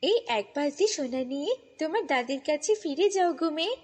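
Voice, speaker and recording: High-pitched kindly fairy acted by a woman. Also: expressive, brisk, a little mellow, in a large hall. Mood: gently admonishing. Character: kindly, beautiful fairy woman advising a child. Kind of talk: children's fairy-tale cartoon acting.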